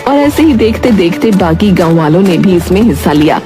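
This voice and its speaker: A woman narrating a cartoon story in a steady, measured way. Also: fairly low pitch. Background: background music.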